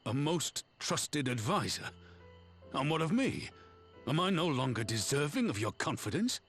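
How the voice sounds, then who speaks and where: An actor playing a proud, loyal middle-aged general in a fantasy game, speaking with solemn, formal, archaic phrasing. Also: male voice, fairly high pitch, sing-song, moderate pace. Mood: wounded, pleading. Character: grave, loyal veteran general, proud and honorable. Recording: orchestral music behind the voice.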